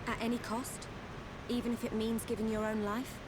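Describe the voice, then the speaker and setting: A woman's voice: a little high, level tone, moderate pace, noisy background, natural voice. An actress playing an earnest, caring young woman in a game who consoles and questions her comrades.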